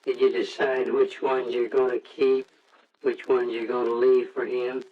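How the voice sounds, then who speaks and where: An actor playing a plain-spoken, gentle family friend with a folksy manner in 1940s radio drama style. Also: male voice, medium pitch, level tone, a little slow, lightly acted. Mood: gentle, subdued. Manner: folksy, colloquial. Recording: dull, noisy old radio recording.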